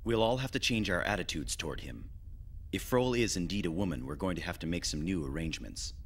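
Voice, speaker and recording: A man's voice: deep voice, expressive, brisk, breathy edge, full character voice. A man playing a sensible, questioning young space crewman in an English anime dub, businesslike and probing. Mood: stern, questioning.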